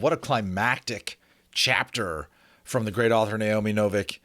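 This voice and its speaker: Guy chatting about a book he reads aloud, lowish voice, really into it, dry recording. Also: fairly bright tone, dry recording. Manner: enthusiastic, informal, rambling asides. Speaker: narrator, host of dramatic book readings. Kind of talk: chatty commentary and dramatic audiobook reading.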